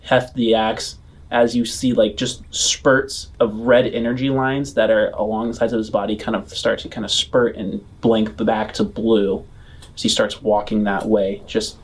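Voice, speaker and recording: A man's voice: lowish voice, flat delivery, measured pace, breathy edge. Man narrating a tabletop game off the cuff. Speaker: game master.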